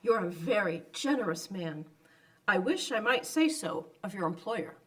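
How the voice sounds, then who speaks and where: A woman's voice: mid-range voice, lively intonation, normal speed, distant mic, natural voice. A woman playing a polite, earnest genteel lady raising funds, speaking formally in old-time radio drama style. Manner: courteous, formal, persistent.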